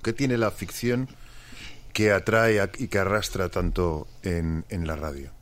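A man's voice: sits low, unhurried, a bit bright. A man with a Spanish accent from Spain, hosting a conversational interview. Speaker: interviewer, programme host.

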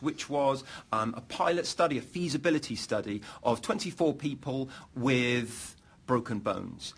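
A man's voice: medium pitch, husky. A man hosting a live panel event, talking with many fillers and repeated words. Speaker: host.

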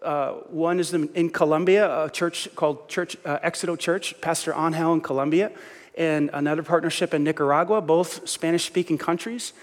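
A middle-aged man preaching a sermon as pastor, lively and self-mocking, often restarting his sentences. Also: on the high side, moderate pace, in a large hall.

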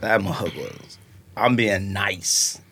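A man co-hosting a podcast in casual chat, emphatic and animated as he acts out his own reactions. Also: on the low side, drawn-out words, slightly rough. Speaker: podcast co-host.

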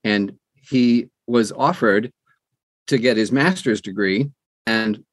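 A middle-aged or older American man telling personal stories in an animated, conversational way as an interview guest. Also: fairly low pitch, slow, no room sound. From United States, New Jersey. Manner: animated, acts out quoted dialogue. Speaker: interview guest, audiobook narrator. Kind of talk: conversational storytelling.

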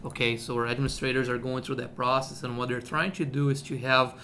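A man's voice: medium pitch, little pitch movement, fast talker, sharp tone, a little hiss or hum. A man from Brazil, a university agronomy researcher explaining his work in a radio interview, with many fillers and "okay?" checks.

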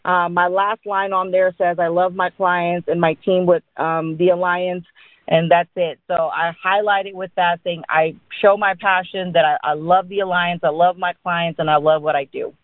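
A woman's voice: lowish voice, little pitch movement, normal speed, no echo. Lady doing an informal sales training talk, explainy, checks in a lot, dry phone line sound. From born in India, grew up in Dallas, Texas. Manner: explanatory, with frequent check-in tags like "right?" and "okay?". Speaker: insurance agent giving sales training.

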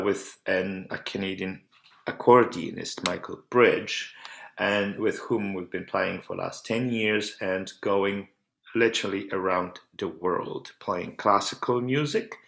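A man's voice: on the low side, gravelly, fairly bright tone. A man from Poland, a clarinet professor answering radio interview questions at length, often restarting and repeating words. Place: remote call into a radio show. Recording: roomy echo.

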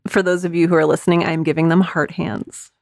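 Lower-pitched woman guest, very dry sound. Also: level tone, fairly quick, gravelly, darkish tone, no echo. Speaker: podcast guest, audio drama creator. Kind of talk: casual interview chat.

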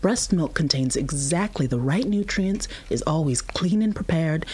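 Husky-voiced, patient young mother, acted by a woman. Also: sits low, expressive, normal speed, a little mellow. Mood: patient, explaining. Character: patient, tired human mother of a nursing infant. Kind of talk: audio drama acting.

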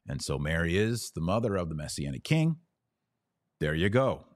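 A man's voice: sits low, sing-song, moderate pace, no echo. A man speaking as a podcast host, teaching about religion conversationally and answering questions in a didactic, emphatic way.

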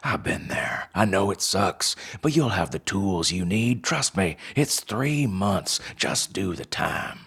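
A condescending male advisor in an audiobook, put on by a man reading. He talks like an anchorman, coaxing and persuasive. The voice is low with a breathy husk. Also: lively intonation, takes it slowly, few pauses, fairly bright tone, heavily acted. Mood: confiding, persuasive.

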